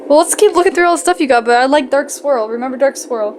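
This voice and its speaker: A woman's voice: sits high, expressive, fast, a little mellow, slight background noise. A young woman chatting casually and informally in a home vlog, with a giggly manner. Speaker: vlogger and musician.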